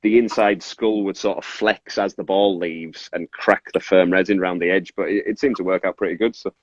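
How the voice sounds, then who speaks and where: Rambling low-voiced northern English man. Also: fairly even intonation, normal speed. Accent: Cumbrian northern English with Scottish influence. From Carlisle, Cumbria, northern England. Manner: rambling, self-interrupting, apologetic about tangents. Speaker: toy maker and resin artist, guest. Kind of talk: casual, informal craft explanation. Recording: muffled, echoey room sound.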